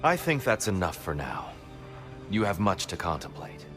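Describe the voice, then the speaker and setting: An actor playing a calm, wise male god mentoring a warrior in a video game, measured and counseling. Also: low voice, over music.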